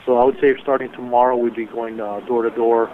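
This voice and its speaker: A man speaking as an emergency management official, giving a measured, deliberate press briefing over a conference call. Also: mid-range voice, flat delivery, slight background noise. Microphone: telephone. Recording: muffled narrow-band conference phone line.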